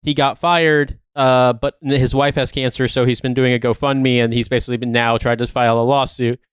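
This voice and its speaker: A man's voice: medium pitch, level tone, a little fast, smooth, no room sound, studio quality. A man co-hosting a podcast in casual banter, talking in an emphatic, incredulous, sweary way. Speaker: podcast co-host.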